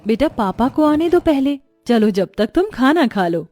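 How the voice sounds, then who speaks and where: A woman playing a gentle, worried, patient mother and wife in a moral-story cartoon. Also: sits high, big pitch swings, measured pace, soft background music. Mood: soothing.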